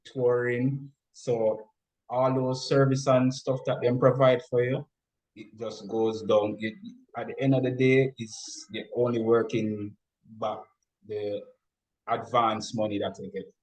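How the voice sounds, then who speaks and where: A man's voice: mid-range voice, little pitch movement, unhurried, a little mellow, rough recording. A man talking informally as an interview guest, explaining his points step by step and often repeating and rephrasing them.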